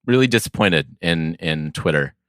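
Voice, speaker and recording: A man with a deep voice and very animated intonation chats casually as a podcast co-host. He feels his way through thoughts haltingly and rambles a little. The sound is dry, with no room echo. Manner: halting, rambling, thinks aloud.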